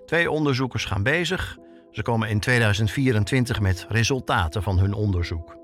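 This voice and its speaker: A man's voice: on the low side, brisk, a bit bright, faint music behind. A man hosting a podcast with scripted documentary narration, told like a story. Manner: storytelling, with rhetorical questions. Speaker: podcast host and reporter.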